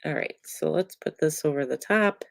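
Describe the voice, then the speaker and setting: Low-voiced crafter with a cold. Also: female voice, normal speed, vocal fry, a little hiss or hum. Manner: chatty, relaxed, many ums, talks while working. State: congested, getting over a cold. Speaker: card-making demonstrator. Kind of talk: casual crafting tutorial.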